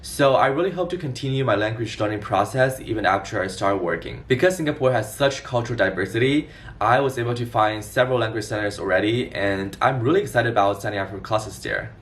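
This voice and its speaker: A man in his twenties from China giving a scripted explainer vlog in a clear, organized way. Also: lowish voice, brisk, fairly bright tone, recorded from a distance. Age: 20s. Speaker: vlogger.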